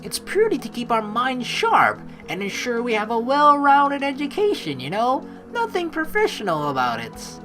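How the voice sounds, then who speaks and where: A woman hosting a let's play, informal and animated, mixing game commentary with reading dialogue aloud. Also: a little high, moderate pace, few pauses, over music.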